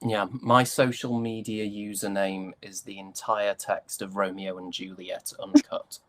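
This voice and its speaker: A man's voice: lowish voice, flat delivery, unhurried, no room sound, low-quality recording. A British man chatting casually as an interview guest, an actor with a joking, self-deprecating way of talking. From United Kingdom.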